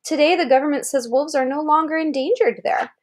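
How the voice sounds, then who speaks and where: Woman reading aloud, slightly high voice. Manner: explanatory, pausing to gloss words and point out pictures. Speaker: reader presenting an article aloud to a child. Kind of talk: read-aloud narration with casual asides.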